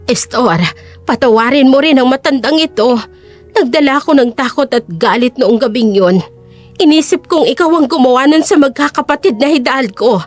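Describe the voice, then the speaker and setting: Woman acting remorseful high-voiced old woman. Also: moderate pace, soft background music, close to an everyday voice. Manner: apologetic, solemn. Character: remorseful elderly woman, humble and apologetic. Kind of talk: audio drama acting.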